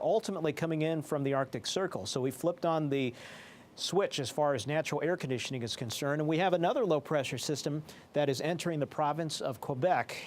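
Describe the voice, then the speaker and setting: A man presenting a television weather forecast in a formal, explanatory broadcast manner. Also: a little high. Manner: formal, explanatory broadcast delivery with technical phrasing. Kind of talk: live weather forecast commentary.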